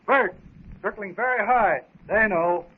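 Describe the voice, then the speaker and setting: A man's voice: high voice, measured pace, a little hiss or hum. An actor playing a solemn, watchful jungle-raised wild man in terse, formal speech, in 1930s radio serial style. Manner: terse, formal, simple declarative speech with animal-language words. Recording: tinny muffled 1930s radio recording.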